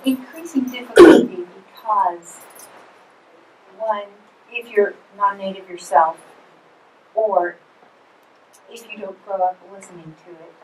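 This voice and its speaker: A woman's voice: mid-range voice, takes it slowly, long pauses, slight background noise. A woman speaking thoughtfully at length in a forum discussion, weighing her points as she goes. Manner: thoughtful, rambling. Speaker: forum participant. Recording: echoey room from far off.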